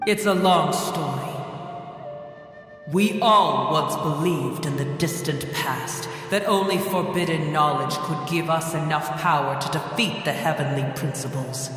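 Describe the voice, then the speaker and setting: Ancient scornful dragon. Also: male voice, fairly high pitch, a bit bright. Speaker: game character. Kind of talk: game voice acting. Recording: echoey hall reverb.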